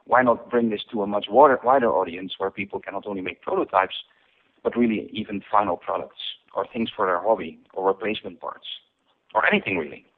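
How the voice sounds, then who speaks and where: A man from the Netherlands, a company founder giving an interview. He speaks in a measured way with many ums and uhs. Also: lowish voice. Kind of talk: interview over the phone. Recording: tinny phone line.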